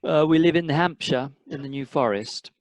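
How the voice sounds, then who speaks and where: A woman's voice: sits low, normal speed, a little mellow, a little hiss or hum, close to an everyday voice. A woman playing a mild, henpecked husband, terse and hesitant, in an amateur radio comedy. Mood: meek.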